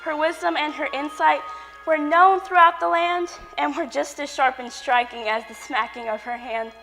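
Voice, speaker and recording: An earnest, dramatic grown daughter, played by a woman in a stage comedy. Her voice is high and bright, with emphatic theatrical delivery, ringing in a hall with long reverb.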